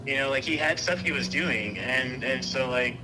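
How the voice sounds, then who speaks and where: A man in a casual personal conversation, talking in a halting, rambling way and circling back on his own phrases. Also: medium pitch, over music, low-quality recording.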